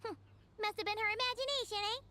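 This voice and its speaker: A woman voicing a tiny, cheeky, curious fairy companion in a video game, with a squeaky childlike manner. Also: fairly even intonation, fast, bright, forceful tone, a little hiss or hum. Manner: squeaky, childlike, speaks in third person. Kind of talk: scripted video game acting.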